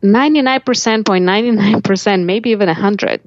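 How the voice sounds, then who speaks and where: A woman's voice: medium pitch, normal speed, no room sound, muffled recording. A woman with a Russian accent telling reflective personal stories as an interview guest, often voicing her own thoughts aloud. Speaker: life coach, podcast guest.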